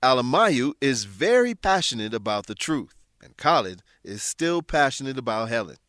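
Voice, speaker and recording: A man hosts and narrates an educational radio show in a scripted, explanatory style. His medium-pitched voice moves expressively, and the recording is dry and close. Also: big pitch swings, normal speed, a bit bright, dry recording. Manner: clear, explanatory, addresses listeners. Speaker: narrator and English teacher.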